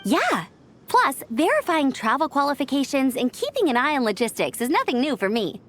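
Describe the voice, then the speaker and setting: A woman playing an eager, energetic young expedition clerk in a video game, upbeat and efficient in manner. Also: high-pitched, moderate pace, soft background music. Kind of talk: video game character dialogue.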